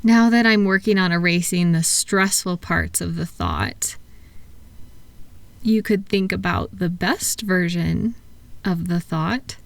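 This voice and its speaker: A woman speaking softly and gently, at times breathy, in an unhurried, reassuring one-sided ASMR roleplay as a calming practitioner. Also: fairly low pitch. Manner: soothing, reassuring, unhurried, asking gentle questions. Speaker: audio roleplay performer playing a thought-calming practitioner.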